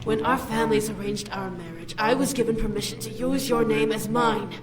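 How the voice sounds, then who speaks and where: A woman's voice: a little high, fairly even intonation, a little fast, music in the background, natural voice. A woman playing a haughty demon noblewoman in a visual novel game, with an imperious, mocking, theatrical delivery. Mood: possessive. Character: haughty female demon noble, scorned fiancée and would-be queen.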